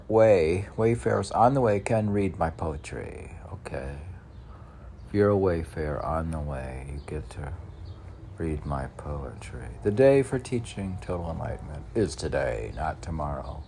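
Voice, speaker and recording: A middle-aged man reads his own poems in a very low, creaky voice. He takes his time, declaiming lines and then muttering asides to himself, with faint noise in the recording. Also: dark tone. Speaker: poet. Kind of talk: reading own poetry with off-the-cuff asides.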